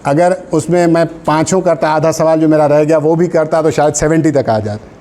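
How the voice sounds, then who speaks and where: A man's voice: a little high, steady tone, normal speed, warm tone, a little hiss or hum. A man with a Pakistani accent giving informal exam coaching, instructive, mixing in English terms and checking in with short tags. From Sindh, Pakistan. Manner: switches into English terms and phrases. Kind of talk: informal classroom lecture with exam advice.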